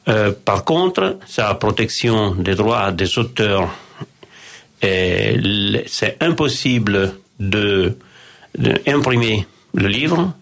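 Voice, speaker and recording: A man, a publisher, explaining his publishing house as a radio interview guest, measured and explanatory with frequent pauses and restarts. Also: lowish voice, lively intonation, vocal fry, a bit bright.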